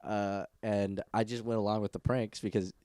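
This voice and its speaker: A man's voice: lowish voice, flat delivery, normal speed, mellow. A man in his twenties co-hosting a podcast in casual, rambling chat, with a nasal voice, swearing and laughing often. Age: 20s. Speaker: podcast co-host.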